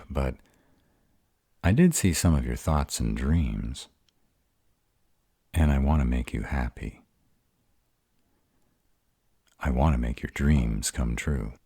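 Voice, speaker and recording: A man voicing a gruff, bashful were-dragon in human form, hesitant, earnest and tender in an intimate romantic audio roleplay. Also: rumbling low, mellow, no room sound, studio quality, full character voice.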